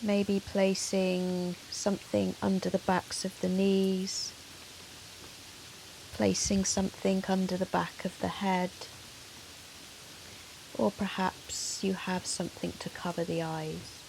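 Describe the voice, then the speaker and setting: A woman yoga teacher leading a guided meditation in a soothing, measured, gentle manner with long pauses. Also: mid-range voice, little pitch movement, vocal fry, poor audio. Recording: soft hiss of rain noise. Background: soft rain sound.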